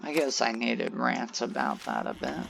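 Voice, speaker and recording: A young man with a monotone, halting manner, rambling through gameplay commentary with many fillers. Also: mid-range voice, gravelly, background noise. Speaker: gaming channel host. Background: loud game music.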